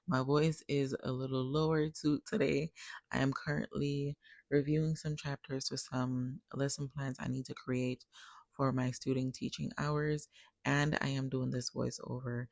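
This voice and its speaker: Woman, nail tech, tutorial voice-over, tired, gravelly, low-pitched voice, dry close sound. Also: measured pace, dry recording. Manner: relaxed step-by-step explaining, addresses viewers as guys. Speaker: nail technician. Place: recorded separately as a voice-over.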